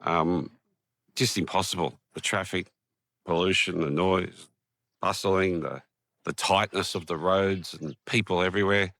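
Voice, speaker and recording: An Australian man with a croaky voice from a cold, talking casually in a rambling vlog with many ums and restarts, outdoors in a quiet park. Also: low voice, fairly even intonation, unhurried, no echo, studio quality. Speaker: vlogger. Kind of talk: casual vlog talk to camera.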